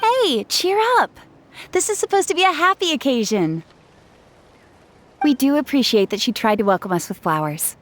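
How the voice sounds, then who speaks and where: An upbeat teasing adventurer. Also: female voice, high-pitched, sing-song, moderate pace. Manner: upbeat, teasing, playful salesy flair. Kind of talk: game cutscene dialogue. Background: game music.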